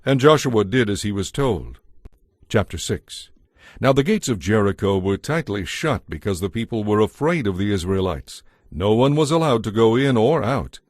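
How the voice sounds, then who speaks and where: Low-pitched man narrating scripture solemnly. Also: very animated, moderate pace, no echo, clean studio sound. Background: background music.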